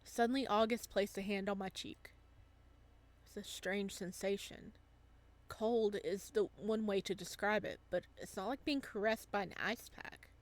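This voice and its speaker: Woman reading as a soft, wistful man in love. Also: mid-range voice, fairly even intonation, unhurried, close to an everyday voice. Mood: tender. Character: wistful adult man reunited with lost boyfriend, gentle narrator. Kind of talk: visual novel story reading.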